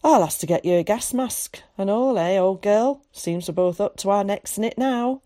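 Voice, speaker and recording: Woman doing a gruff old countryman. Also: mid-range voice, normal speed, mellow, voice pushed up, lightly acted. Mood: fond. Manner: gruff, blunt country speech.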